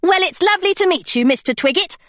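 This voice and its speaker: A woman's voice: very high voice, lively intonation, fast, fairly bright tone, no echo, clean studio sound, pitched above the performer's own voice. A woman voicing an eager, polite young female pirate.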